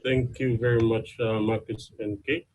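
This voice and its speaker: A man with a Fijian accent and a slightly shaky voice, giving an informal talk to an online gathering with hesitant pauses. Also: fairly low pitch, flat delivery, a little mellow, low-quality recording. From Fiji, Lau islands. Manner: hesitant, pausing mid-phrase. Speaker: guest speaker, university student. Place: online video meeting.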